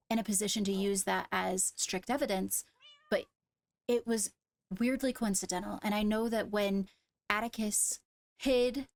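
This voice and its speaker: A woman playing a guarded young royal in an improvised Minecraft roleplay, speaking in a measured, deliberate way as she reasons aloud. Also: mid-range voice, creaky, natural voice.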